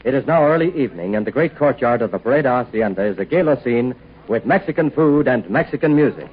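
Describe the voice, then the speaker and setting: A man's voice: medium pitch, lively intonation, quick pace, phone-quality sound, natural voice. A man narrating a 1940s radio serial as its announcer. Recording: thin, band-limited old radio recording, faint crowd.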